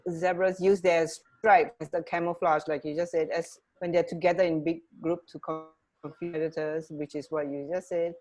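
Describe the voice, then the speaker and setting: Woman, lower-pitched voice, radio co-host, casual conversational style, slightly hesitant phrasing. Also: level tone, normal speed, a little mellow.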